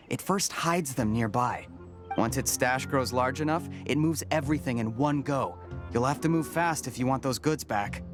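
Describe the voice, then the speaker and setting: A man acting as a helpful local villager in a fantasy game. His mid-pitched voice is plain and level-headed, with music clearly behind it. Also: lightly acted. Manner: plain, explanatory.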